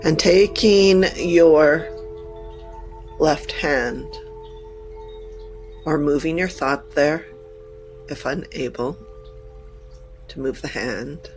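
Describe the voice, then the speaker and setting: Female meditation guide, markedly slow and deliberate, formal phrasing broken into short pieces, soft music and chimes behind. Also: low voice. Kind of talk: guided meditation, channeled message.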